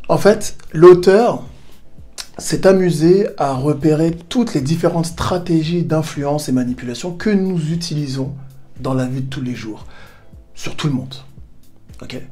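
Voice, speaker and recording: A man's voice: on the high side, mellow. A man hosting a self-help video, coaching the viewer in a casual, direct way with playful asides. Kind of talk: direct-to-camera coaching talk. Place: home in southern Spain.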